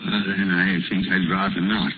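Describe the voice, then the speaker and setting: An actor playing a frightened, querulous old miser in 1930s radio drama style, with halting, pleading speech. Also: male voice, low voice, little pitch movement, noisy background, lightly acted. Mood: nervous, wheedling. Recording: old, tinny 1939 radio broadcast.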